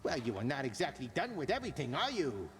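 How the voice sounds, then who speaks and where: A man's voice: medium pitch, huge pitch swings, fast. A man playing a hearty, grandly jovial commander in a video game, with florid, chummy delivery. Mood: jovial, admiring. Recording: long reverb, faint background noise.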